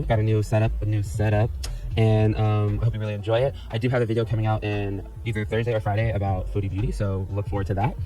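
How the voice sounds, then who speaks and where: Congested man talking very fast. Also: fairly low pitch, warm tone, rough recording. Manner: rapid, chatty. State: congested from a cold, tired. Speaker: reaction youtuber. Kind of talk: casual vlog intro.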